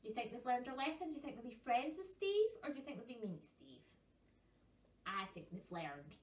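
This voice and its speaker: A woman, reading picture books to young children with chatty, expressive asides. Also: fairly high pitch, brisk. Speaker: library storytime presenter. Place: small carpeted room at home, seated on cushions. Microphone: camera a couple of metres away. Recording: roomy sound from a distant camera mic.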